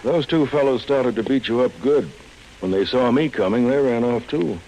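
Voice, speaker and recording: A man acting an older, calm, plain-spoken ranch caretaker in an American 1940s radio drama, folksy and measured. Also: mid-range voice, close to an everyday voice, rough recording. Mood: calm, concerned. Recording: old radio recording, faint noise.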